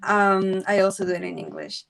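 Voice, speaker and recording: Gravelly woman, hesitant. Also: medium pitch, steady tone, normal speed. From Peru. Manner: hesitant, many fillers. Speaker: guest. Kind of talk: casual podcast conversation.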